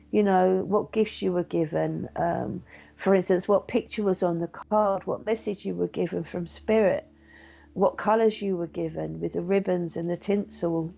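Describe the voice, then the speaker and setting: A middle-aged woman, a meditation teacher and medium, chatting gently and reassuringly to viewers with many fillers. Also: mid-range voice, creaky. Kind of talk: casual live-stream chat reading viewer comments. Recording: dull lo-fi stream audio.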